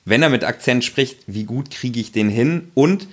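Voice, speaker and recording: A man's voice: mid-range voice, lively intonation, normal speed. A man co-hosting a podcast, talking casually and rambling with lots of fillers and restarts. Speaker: podcast co-host. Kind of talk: casual chat.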